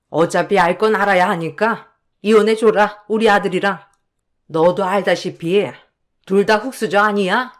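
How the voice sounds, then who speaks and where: A woman's voice: medium pitch, natural voice. Woman voicing a harsh, scolding older mother-in-law. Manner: sharp, scolding and dismissive. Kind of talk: dramatized storytelling.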